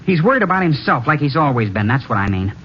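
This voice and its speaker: Actor as cocky young jockey, lively swooping delivery. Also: male voice, medium pitch, fast, lightly acted. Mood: bitter, heated. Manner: clipped, confrontational. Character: cocky, resentful young male jockey, defiant and bitter. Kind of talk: old-time radio drama acting. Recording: muffled, faintly noisy vintage radio recording.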